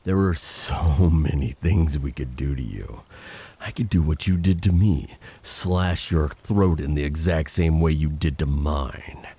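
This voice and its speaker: An actor playing a vengeful monster older brother in an audio drama, speaking with formal, bitter menace. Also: male voice, rumbling low, dark tone, breathy edge, exaggerated voice. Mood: bitter rage. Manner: menacing, formal, slow threats. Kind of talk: dramatic audio roleplay acting.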